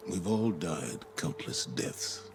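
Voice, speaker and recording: A man playing a stoic, burdened military commander in a video game, speaking solemnly and haltingly, heavy with grief. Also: low-pitched, animated, heavily acted. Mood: solemn tribute. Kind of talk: scripted video game monologue. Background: music.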